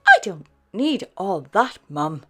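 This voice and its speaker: A woman's voice: sing-song, slow pace, pushed and bright, exaggerated voice. Spot, a woman doing an eager little puppy. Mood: excited. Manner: high, eager exclamations. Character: small excited male puppy.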